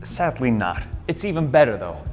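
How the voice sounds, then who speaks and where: A man's voice: medium pitch, very animated, moderate pace, darkish tone, faint music behind, natural voice. A man playing an eager, easygoing young bandmate in a sitcom, conversational and enthusiastic.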